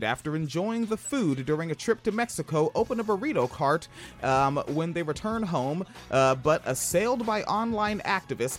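A man from Washington State with a high-pitched voice, co-hosting a casual podcast, profane and animated, laughing often. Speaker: podcast co-host.

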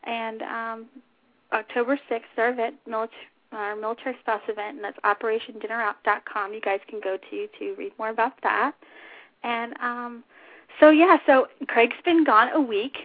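Female talk show host, informal and unscripted, slightly husky and scratchy, dry phone-quality sound. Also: fairly high pitch, fairly even intonation, moderate pace, dry recording. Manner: chatty, informal. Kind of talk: unscripted casual radio chat. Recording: tinny phone-line internet radio audio.

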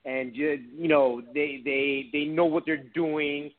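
A man raised in Miami by Cuban parents, an actor guest chatting casually in an interview, friendly and halting with many restarts. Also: fairly high pitch, fairly even intonation. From Miami, Cuban American. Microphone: phone call. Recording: tinny phone line.

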